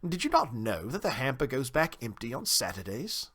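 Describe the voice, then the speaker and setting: A man's voice: on the high side, big pitch swings, moderate pace, sharp tone, heavily acted. A man voicing a genteel, well-mannered storybook town mouse who speaks politely and formally, a little pompous and easily miffed. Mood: huffy. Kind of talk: storybook character reading.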